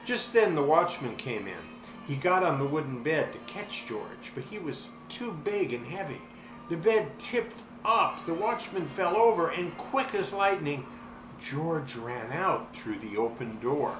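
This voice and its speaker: A man reading a children's storybook aloud with expressive, dramatic delivery and drawn-out words. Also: on the high side, music in the background. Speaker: story reader. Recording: roomy echo, clear background noise.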